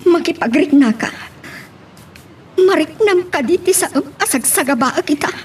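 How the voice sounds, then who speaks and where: A woman's voice: high voice, lively intonation, moderate pace, soft background music. A woman acting a hurt, self-sacrificing young woman in an Ilocano romance radio drama. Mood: hurt, sorrowful.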